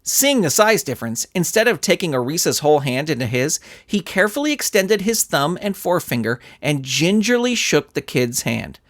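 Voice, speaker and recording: A man's voice: fairly high pitch, very animated, moderate pace, hardly any pauses, a bit bright. A man narrating a scripted story as an audiobook reader. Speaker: narrator and author.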